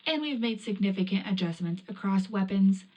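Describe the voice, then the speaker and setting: Lady playing wartime radio news correspondent, medium pitch, businesslike scripted read. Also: animated, normal speed, a little mellow, close to an everyday voice, poor audio. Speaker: tech update presenter.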